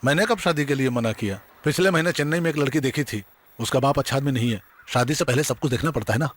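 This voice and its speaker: A man's voice: mid-range voice, fast, natural voice. An actor playing a genial retired schoolteacher father in his sixties in dubbed film dialogue. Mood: grumbling. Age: 60s.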